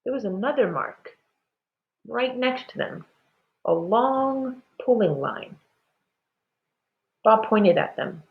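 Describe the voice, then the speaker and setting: A woman storyteller reads a children's book with very lively, swooping intonation and a deliberate, dramatic delivery. Her voice is on the low side with a noticeable creak, and the recording sounds slightly dull. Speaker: read-aloud storyteller. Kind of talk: expressive children's storybook narration.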